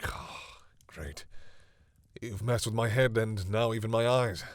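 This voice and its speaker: A man playing a proud vampire captor who is awkwardly softening, speaking hesitantly and condescendingly to the listener in an intimate audio roleplay. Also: lowish voice, unhurried, no echo. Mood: incredulous, flustered. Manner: hesitant, sighing, wryly condescending toward humans.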